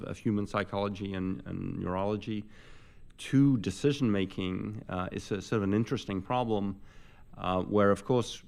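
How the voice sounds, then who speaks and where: An older man interviewing a guest in a conversational way, halting, with many fillers and restarts. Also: on the low side, gravelly, darkish tone. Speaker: interviewer, professor.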